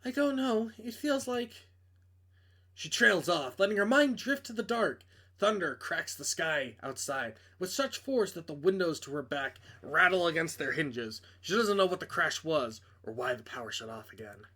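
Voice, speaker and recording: A man's voice: fairly high pitch, animated, normal speed, sharp tone. A man reading a visual novel aloud as dramatic narration, with off-the-cuff asides and stumbles that he corrects. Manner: stumbles over words and corrects himself.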